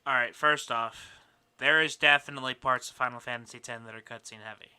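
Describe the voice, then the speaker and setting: A man chatting casually on a podcast panel, animated and bantering. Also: medium pitch, a little fast, clean tone. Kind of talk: casual chat, gaming debate.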